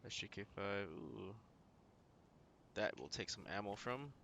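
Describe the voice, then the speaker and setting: A man's voice: on the low side, animated, a little slow, vocal fry, heavy background noise. A man streaming a video game, giving casual running commentary and often muttering to himself as he plays. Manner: muttering to himself while playing.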